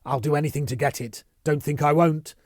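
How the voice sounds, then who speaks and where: A man's voice: on the high side, fairly even intonation, brisk, no echo, clean studio sound, voice pushed up, natural voice. A man voicing a savage, cold, menacing male interrogator in a storybook reading. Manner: savage, pounding.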